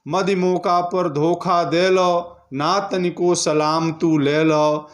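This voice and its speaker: A man from India, presenting and reciting poetry in a formal, deliberate, measured way. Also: high voice, steady tone.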